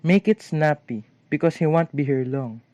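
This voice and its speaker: A man's voice: fairly high pitch, moderate pace, dark tone, a little hiss or hum, natural voice. A man playing a grumbling but appreciative tinkerer father in an amateur radio play. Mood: grumpy.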